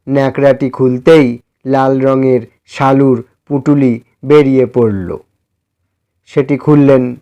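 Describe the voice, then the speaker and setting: A male storyteller reads scripted literary prose in a soft, dark-toned voice. His pace is slow and measured, with a medium pitch. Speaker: story narrator. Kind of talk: scripted first-person literary narration.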